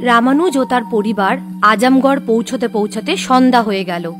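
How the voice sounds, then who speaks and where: A woman's voice: a little high, normal speed, music in the background. A woman narrating a scripted horror story.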